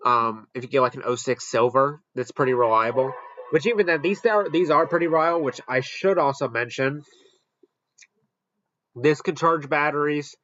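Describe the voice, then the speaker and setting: A man's voice: on the high side, normal speed, a bit bright, no echo, muffled recording. A man giving casual, off-the-cuff commentary as he hosts a product ranking. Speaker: host ranking weather radios.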